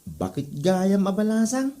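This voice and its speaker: A woman's voice: mid-range voice, wildly swooping pitch, unhurried, dark tone. A woman with an Ilocano accent playing a gossipy classmate who chides a troublemaker in a comedy drama. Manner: chiding, gossipy.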